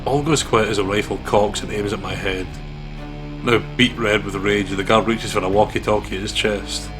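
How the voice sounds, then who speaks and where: A man with a slightly low voice narrates a visual novel as a let's play host. His reading is dramatic, with clear music behind it. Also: steady tone, moderate pace.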